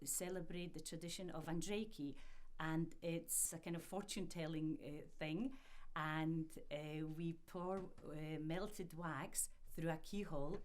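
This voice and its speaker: A middle-aged woman from Poland whose first language is Polish, speaking reflectively and hesitantly in an interview, with frequent pauses. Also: low-pitched, steady tone.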